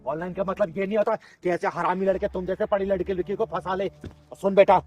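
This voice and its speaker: A man's voice: high voice, steady tone, brisk, darkish tone, soft background music. A man acting a fierce, protective kinnar elder who scolds, threatens and boasts in a melodramatic short film. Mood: threatening, stern. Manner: scolding, threatening, boastful, speaks of self by name. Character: Chingari, fierce kinnar (transgender woman) avenger, protective elder.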